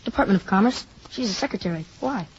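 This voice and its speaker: A woman's voice: medium pitch, lively intonation, fast talker, close to an everyday voice. A woman playing a young American boy, eager and frank, chattering in naive boyish slang. It is old radio drama acting, heard through a dull recording with faint noise. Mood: curious, playful.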